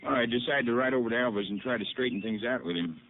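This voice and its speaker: A man's voice: mid-range voice, rapid-fire, lightly acted. An actor playing a nervous, evasive male rancher with a hesitant, defensive country drawl in a 1950s radio drama. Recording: tinny lo-fi old radio broadcast with faint hiss.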